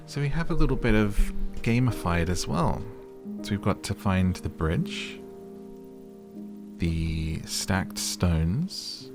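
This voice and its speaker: A man casually commenting on a relaxing game as he plays, chatty and easygoing, speaking straight to his viewers. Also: deep voice. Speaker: gaming YouTuber. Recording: game music playing behind. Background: soft game music.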